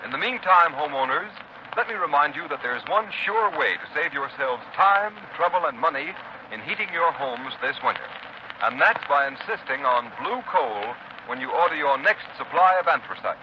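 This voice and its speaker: Higher-pitched vintage male radio announcer. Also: animated, normal speed. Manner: polished, persuasive, emphatic. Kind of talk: 1930s radio commercial announcing. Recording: muffled, noisy 1930s radio recording.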